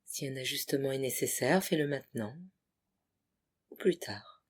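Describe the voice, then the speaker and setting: Casting: meditation guide, mid-pitched voice, breathy and hushed, soothing short phrases for deep relaxation. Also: animated, normal speed. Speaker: yoga nidra guide.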